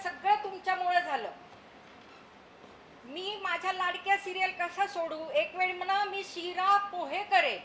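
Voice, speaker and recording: A woman's voice: very high voice, fairly bright tone. A woman acting an exasperated, nagging wife and mother in a stage comedy, complaining dramatically and repeating herself for emphasis. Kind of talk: theatrical stage play acting. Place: theatre stage in an auditorium. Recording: echoey auditorium with faint noise.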